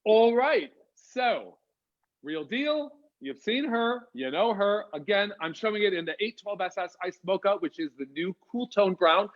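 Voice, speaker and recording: A man's voice: sits high, animated, unhurried, lo-fi sound. A man with an American accent co-hosting a casual live wig demo over a video call, chatty and upbeat, greeting viewers by name.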